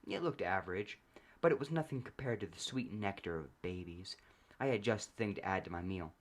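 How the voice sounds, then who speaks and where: A man reading a comedic first-person story aloud as narrator, in a deadpan manner. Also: sits low, fairly quick.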